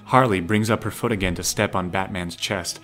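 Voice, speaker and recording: A man's voice: sits low, brisk, fairly bright tone, slight background noise. A man reading scripted action narration for an audio drama. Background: music.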